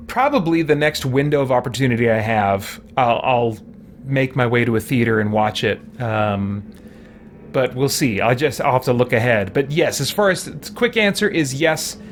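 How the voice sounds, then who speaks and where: A man giving casual let's-play commentary as a streamer, rambling and thinking out loud as he goes. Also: medium pitch, slight background noise. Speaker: livestream gamer and host.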